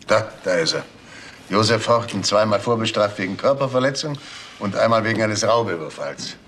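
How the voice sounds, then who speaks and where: A man's voice: on the low side, expressive, normal speed, a bit bright, slight background noise. A man playing a police officer in a crime radio drama, with dry, sardonic questioning.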